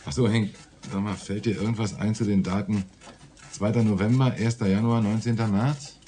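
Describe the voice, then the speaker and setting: A man's voice: on the low side, steady tone, normal speed, warm tone, lightly acted. A man acting a dry, stubborn, brooding police detective in a German radio play. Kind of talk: low-key German radio crime drama.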